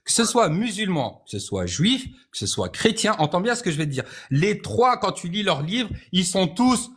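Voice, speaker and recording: A man from France argues hard in a live debate, his voice sitting high. He is insistent, talking over objections and demanding to be heard out. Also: big pitch swings, moderate pace, fairly bright tone, slightly rough. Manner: insistent, keeps cutting in to finish his point. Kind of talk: heated street debate.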